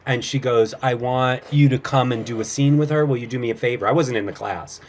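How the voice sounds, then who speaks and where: A man's voice: medium pitch, brisk, a little hiss or hum. Casual man telling anecdotes, mid-range voice. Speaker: guest, actor and improv comedian.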